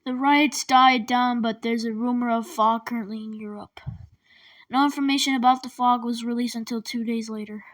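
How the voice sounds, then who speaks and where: A woman's voice: on the high side, steady tone, moderate pace. A woman giving casual, informal reactions in muttered asides, recorded at a distance with noise. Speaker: video host reacting to a broadcast. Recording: distant mic with noisy background.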